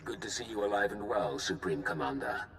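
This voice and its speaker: A deep-voiced guarded Imperial informant. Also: male voice, expressive, a little fast, fairly bright tone, reverberant, a little hiss or hum. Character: secretive male Imperial informant, calm and guarded. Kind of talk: game voice acting.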